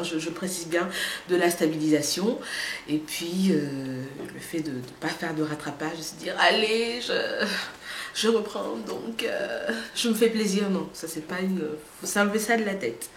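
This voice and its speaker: A woman with a slight cold gives a casual, chatty vlog update and talks straight to her viewers, with many hesitant pauses. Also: mid-range voice, reverberant, slight background noise. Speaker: vlogger.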